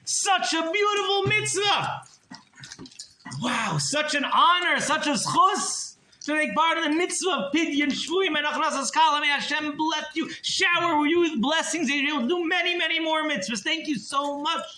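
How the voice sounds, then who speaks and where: A man doing an effusive old rabbi, high and gushing. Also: moderate pace, echoey room, slight background noise, heavily acted. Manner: high, loud, exclamatory praise. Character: effusive elderly male rabbi, gushing with blessings.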